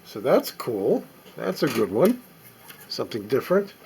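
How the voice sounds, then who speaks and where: A man casually talking to himself as he sorts a stack of old records, reading the labels aloud in a halting, musing way. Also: mid-range voice, huge pitch swings, vocal fry, darkish tone, slight background noise, rough recording. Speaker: record collector. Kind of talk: casual running commentary while sorting records.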